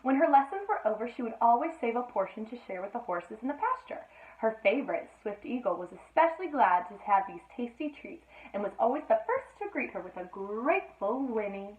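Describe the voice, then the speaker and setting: A woman reading a children's story aloud in an expressive teacherly style, with playful asides to her listeners. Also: a little high, brisk. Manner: addresses listeners with playful questions, dramatic emphasis. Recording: roomy, echoey, narrowband sound.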